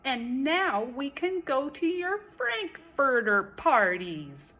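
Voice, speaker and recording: High, gleefully taunting creature for a picture book, acted out by a woman, with animated swoops. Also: slow pace, heavily acted. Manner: taunting, crowing. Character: crowd of plain storybook creatures, gleefully taunting. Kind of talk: storybook character voice.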